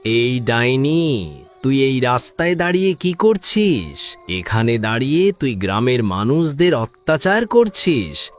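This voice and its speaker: A man's voice: medium pitch, very animated, measured pace, faint music behind. A man voicing a bold tantric exorcist in a horror cartoon, commanding and declamatory. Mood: scornful, commanding. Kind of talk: Bengali horror cartoon voice acting.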